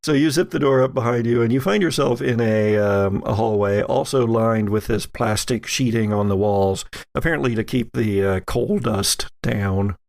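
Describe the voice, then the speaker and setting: A man narrating a tabletop role-playing game as game master, haltingly searching for words as he describes scenes. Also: mid-range voice, creaky, a little mellow.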